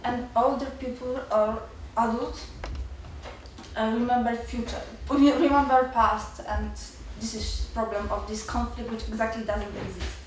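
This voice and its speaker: A young woman with a Polish accent, a student giving nervous, halting remarks at a seminar with many restarts. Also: fairly high pitch, fairly even intonation, very echoey, far from the mic, a little hiss or hum, rough recording. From Poland. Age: young adult. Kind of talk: nervous public remarks in a second language.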